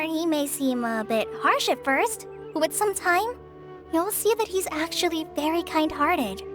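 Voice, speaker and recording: A woman's voice: high voice, a bit bright. A woman playing an earnest, shy girl training as a forest ranger in a video game, with a light, girlish, hesitant, polite delivery. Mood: gently reassuring.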